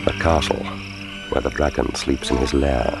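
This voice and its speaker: Very deep-voiced British secret agent. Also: male voice, steady tone, normal speed, gravelly. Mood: tense, wry. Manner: dry, understated, musing aloud. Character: British secret agent, resolute, wry, tender with his island companion. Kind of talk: radio drama acting. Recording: dramatic music bed under voice. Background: loud orchestral film score.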